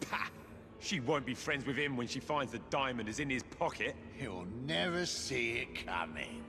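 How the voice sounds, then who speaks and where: A man's voice: mid-range voice, expressive, moderate pace, lightly acted. A male actor playing a sneering Victorian conspirator, echoing in a video game cutscene. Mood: gloating. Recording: long echoing reverb under music.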